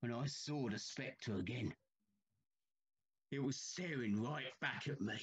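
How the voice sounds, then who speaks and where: Husky, haunted railway signalman. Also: mid-range voice, slow. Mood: haunted, intense. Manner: grave, urgent storytelling. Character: haunted, troubled male railway signalman telling of a spectre. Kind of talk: period audio drama acting.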